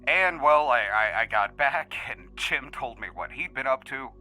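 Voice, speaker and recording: A man's voice: mid-range voice, measured pace, faint music behind. A man playing a brash, jokey magician who rambles casually through his stories in an audio drama. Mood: sheepish. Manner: animated, hesitant rambling storytelling. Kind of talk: conversational audio drama acting.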